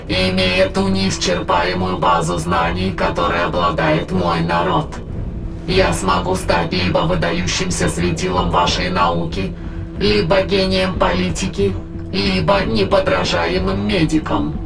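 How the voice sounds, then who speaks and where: A creaking, gravel-throated spirit from another world lays out its ambitions in an audio drama. It is formal and measured, grandiose and coldly persuasive. Music plays clearly underneath. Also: medium pitch. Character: megalomaniac otherworldly spirit plotting to conquer humanity. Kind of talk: audio drama acting, long villainous monologue. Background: dramatic background music.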